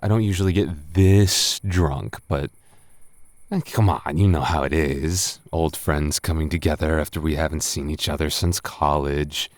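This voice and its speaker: A very low, unhurried young male voice acted by a man plays a tipsy roommate in an intimate roleplay audio. He stammers and rambles, teasing yet flustered and secretly smitten. The sound is close and dry. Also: fairly even intonation, darkish tone, no echo. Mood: tipsy, rambling. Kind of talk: boyfriend roleplay audio acting.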